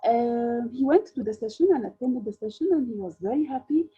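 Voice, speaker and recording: A woman whose native language is Arabic, speaking English slowly and hesitantly over a video call. Her voice is very dark and husky. The call audio is dull and lo-fi. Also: fairly high pitch, expressive, faint music behind. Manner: hesitant, many fillers and restarts. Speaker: workshop participant.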